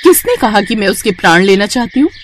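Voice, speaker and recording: A woman's voice: medium pitch, sing-song, quick pace, a little hiss or hum, natural voice. A woman voicing a vain, cruel young princess in a cartoon story, haughty and commanding. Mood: scheming, gloating. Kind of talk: Hindi cartoon moral story acting.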